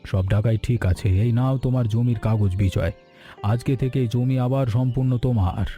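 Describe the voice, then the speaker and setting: A man's voice: mid-range voice, fairly even intonation, normal speed, warm tone, soft background music, lightly acted. A man playing a stern but fair village landlord in a cartoon, speaking with patronizing authority. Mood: approving. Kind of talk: Bengali moral-story cartoon dialogue.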